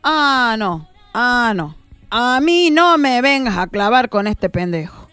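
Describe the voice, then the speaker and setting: A grumpy divine father figure in a radio comedy who speaks slowly and grumbles, as a woman puts him on. The voice is smooth and dry, with an Argentine accent. He sounds thoroughly fed up. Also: fairly high pitch, sing-song, fairly bright tone, dry recording, soft background music, exaggerated voice. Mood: irritated. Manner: grumbling, annoyed. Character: grumpy intrusive male God, fed up.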